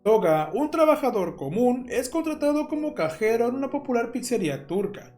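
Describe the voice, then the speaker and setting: A man's voice: extremely high pitch, very animated, normal speed, a little mellow. A man reading scripted, documentary-style horror narration as the narrator. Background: music.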